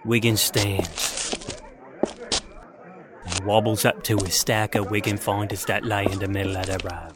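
A man's voice: fairly low pitch, steady tone, unhurried, long pauses, heavy background noise, close to an everyday voice. A man playing a wry, florid narrator of a Victorian mystery audio drama, in a theatrical, wordy manner. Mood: dramatic, wry. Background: loud music.

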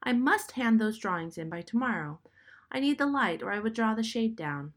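Woman doing a worried young artist girl, pleading, a bit high. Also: animated, normal speed. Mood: coaxing. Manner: pleading, raised.

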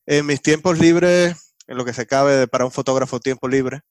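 A man from Cuba with a Cuban Spanish accent, a guest on a podcast explaining his work in casual, relaxed talk. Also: mid-range voice, normal speed, no room sound. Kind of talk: casual interview chat.

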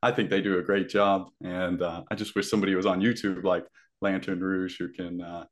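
A man's voice: deep voice, brisk. A young man who grew up in Ireland, chatting casually as a podcast guest with a faint Irish accent and lots of fillers. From Zimbabwe. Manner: relaxed, many fillers.

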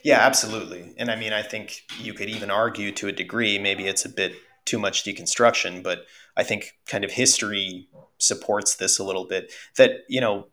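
A man's voice: lowish voice, steady tone, moderate pace, sharp tone, a little hiss or hum. A podcast co-host, a man who grew up speaking Russian, thinking aloud in casual conversation with many fillers.

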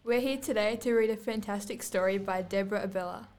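A woman's voice: mid-range voice, fast, darkish tone, soft background music. A girl of about eleven or twelve reading scripted storybook narration aloud as a student podcast host. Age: child, about 11 to 12.

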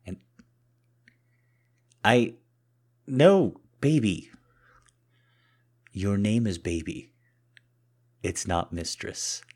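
A man acts out a boyfriend in an intimate audio roleplay, talking straight to his lover. His voice is low and husky, and he takes his words very slowly. The delivery is halting and conversational, as in a private exchange between partners. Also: lively intonation, full character voice. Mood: flustered, irritated, pleading. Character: cocky boyfriend, flustered and pleading, turning softly submissive. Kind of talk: intimate solo audio roleplay addressed to the listener.

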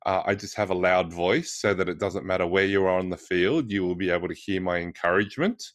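A man interviewing a guest in a conversational way, encouraging, with hesitant, pausing phrasing. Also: deep voice, no echo, clean studio sound.

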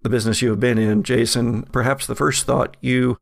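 A man acting as game master, improvising horror narration for a tabletop game with halting, suspenseful pauses. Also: medium pitch, fairly even intonation, vocal fry, no room sound, clean studio sound.

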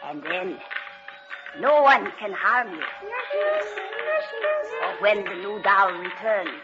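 A slow, sinister old doll witch. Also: mid-range voice, expressive. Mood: gloating menace. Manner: slow, menacing, incantatory. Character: sinister, malevolent old woman who commands devil dolls. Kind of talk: old-time radio horror acting. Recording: dull vintage radio broadcast, loud music behind.

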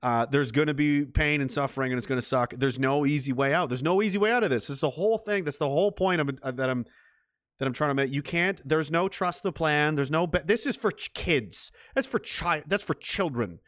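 A man's voice: on the high side, fairly quick, fairly bright tone, no room sound, studio quality. A man hosting a livestream, rambling in casual, heated and profane commentary. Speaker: livestream host.

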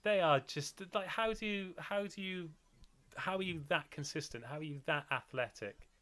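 A man's voice: a little high, moderate pace, a bit bright. Chatty man hosting a podcast. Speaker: podcast host. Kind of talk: casual chat. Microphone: video call mic.

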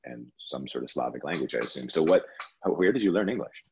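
A man's voice: extremely low pitch, animated, fast talker, darkish tone, dull audio, a little hiss or hum. A man interviewing a guest in a casual conversation, laughing easily and interjecting.

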